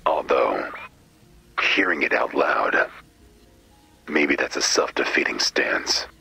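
A man's voice: a bass voice, lively intonation, slow, creaky, pushed and bright, soft background music, exaggerated voice. A man voicing a brooding, vengeful masked vigilante in a video game, terse and grim in a whispery growl. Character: brooding, vengeful, mysterious cloaked male vigilante antihero. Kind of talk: video game character dialogue.

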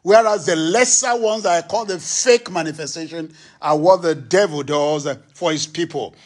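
A middle-aged man preaching a sermon from a church stage into a handheld microphone, emphatic and acting out little dialogues. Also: normal speed. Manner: emphatic preaching, acts out dialogue with rising pitch. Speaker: pastor. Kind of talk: sermon teaching.

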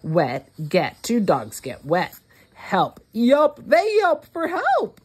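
A woman reading a rhyming picture book aloud for young children, word by word, with deliberate expression. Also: fairly high pitch, slightly rough. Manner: deliberate, word-by-word, expressive delivery for early readers. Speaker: children's read-along host.

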